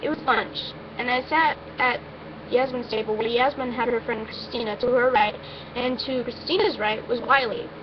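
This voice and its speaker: High-voiced woman reading, muffled noisy recording. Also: steady tone, normal speed. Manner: informal, stumbles and breaks off with asides. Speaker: amateur reader of a story. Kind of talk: first-person story reading, informal.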